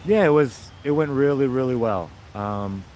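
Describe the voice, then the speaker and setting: Raspy man, mid-pitched, easygoing and enthusiastic, talking to camera outside. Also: animated, moderate pace, darkish tone, slight background noise. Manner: rambling, enthusiastic, upbeat. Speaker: vlogger. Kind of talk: casual chat to viewers.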